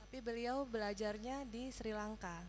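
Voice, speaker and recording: A woman's voice: a little high, fairly even intonation, normal speed. A woman from Indonesia working as a live interpreter, relaying a religious talk sentence by sentence. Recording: faint electrical hum.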